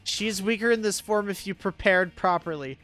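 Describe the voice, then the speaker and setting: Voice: mid-range voice, moderate pace, fairly bright tone. A video game streamer giving casual, slangy, offhand live commentary on the game being played. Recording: game music behind the voice. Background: game music.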